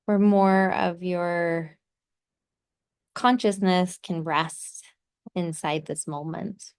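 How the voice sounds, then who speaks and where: Slow, smooth-voiced woman guiding a meditation. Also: on the low side, fairly even intonation, a little mellow. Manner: unhurried, pausing between short phrases, soothing. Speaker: meditation guide. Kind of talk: guided meditation, gently instructive.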